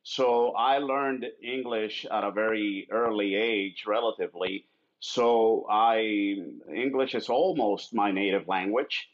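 A man's voice: fairly low pitch, slow pace, muffled recording. A man from Cuba talking casually with friends, hesitant and full of fillers. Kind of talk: casual chat.

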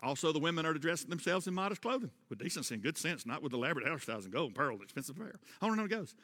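High, bright-voiced pastor preaching. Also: male voice, sing-song, rapid-fire. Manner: preaching with emphatic rises, loud repeated refrains. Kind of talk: sermon. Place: church sanctuary. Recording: long church reverb.

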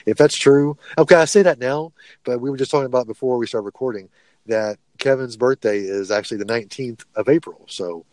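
A man's voice: mid-range voice, fairly quick, a little mellow, no echo, rough recording. A man of about forty co-hosting a casual podcast, bantering in a brash, jokey way with plenty of swearing. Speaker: podcast co-host.

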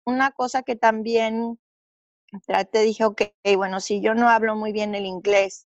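A woman's voice: a little high, steady tone, measured pace, muffled recording, a little hiss or hum. A middle-aged woman from Mexico with a Mexican Spanish accent, telling her story earnestly as an interview guest, with fillers and restarts.

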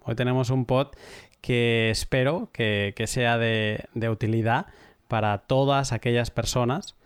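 A man hosting a podcast, introducing the episode and then interviewing a guest in an unhurried, measured way with some hesitant restarts. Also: mid-range voice, steady tone, clear, resonant tone, no room sound, clean studio sound. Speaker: podcast host.

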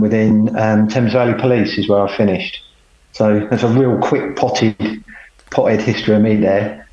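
A middle-aged man, speaking at an unhurried pace with a plain, self-deprecating manner. He is calling in from home, so the voice comes through a roomy space. The call audio is dull and muffled. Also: fairly low pitch, a little mellow. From England. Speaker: podcast guest. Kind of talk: casual conversation, telling his life story. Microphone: remote call.

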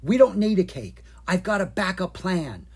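A narrator voicing a plucky, easily exasperated young boy dragon in a children's storybook, excitable and grumbly. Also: medium pitch, normal speed, slightly rough, voice pushed up, heavily acted. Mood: cocky.

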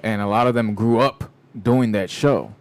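A man's voice: lowish voice, normal speed. A man co-hosting a talk show in casual conversation, slangy and laid-back, often saying "bro". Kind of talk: casual chat.

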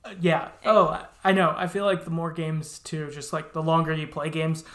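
A man's voice: a little high, little pitch movement, moderate pace, fairly bright tone, slight background noise. A man hosting a podcast in casual, agreeable, easygoing conversation. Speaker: podcast host. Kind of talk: casual chat. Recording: roomy, echoey sound.

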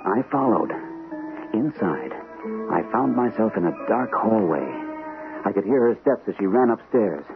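A man's voice: lowish voice, expressive, measured pace, vocal fry, music in the background. An actor playing an earnest, unsteady shell-shocked army captain in an old-time radio drama, hesitant and repeating his words nervously. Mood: tense. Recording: tinny, noisy old radio broadcast recording.

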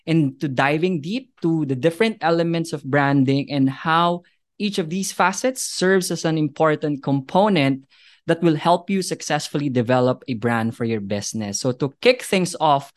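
A man's voice: a little high, moderate pace. A man hosting a podcast interview, upbeat and enthusiastic, speaking in long, structured sentences that sound prepared. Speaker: podcast host.

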